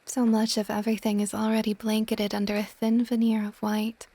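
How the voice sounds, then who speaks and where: A woman voicing an ancient, possessive but tender water dragon goddess in a soft, intimate ASMR romance roleplay, often slipping toward a whisper. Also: medium pitch, completely flat delivery, a little fast, lightly acted. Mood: dreamy, calm.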